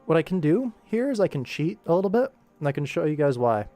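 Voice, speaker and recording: A man's voice: a little high, big pitch swings, fast talker, dark tone, faint music behind. A man casually commentating on his animation work stream, thinking aloud and chatting informally with viewers. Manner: informal, rambling to himself and viewers.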